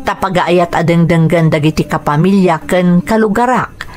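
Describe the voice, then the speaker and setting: A woman with an Ilocano accent giving a measured, sentimental dramatic reading of a letter for a radio drama. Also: mid-range voice.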